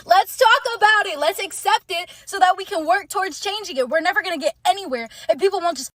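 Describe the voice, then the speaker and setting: A woman's voice: very high-pitched, fast talker, a bit bright. A woman speaking earnestly to camera in a played social media clip, pleading. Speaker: TikTok creator in a played clip. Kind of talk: earnest direct-to-camera talk.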